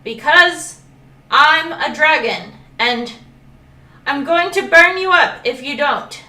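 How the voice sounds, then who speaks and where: A woman voicing a small, frightened young dragon that pleads in high cries. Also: animated, slow, exaggerated voice. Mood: defiant. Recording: echoey room, heard from a distance.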